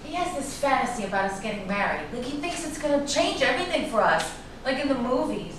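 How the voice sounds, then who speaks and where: A woman's voice: high voice, very animated, fast. Actress as anxious, worn-down woman, echoey distant recording. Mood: pleading. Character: anxious, worn-down woman afraid of her volatile lover. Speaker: actor in a staged reading. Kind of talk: staged play reading, dramatic dialogue. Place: echoey indoor room at a staged reading. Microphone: distant microphone. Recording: distant echoey room, clearly noisy.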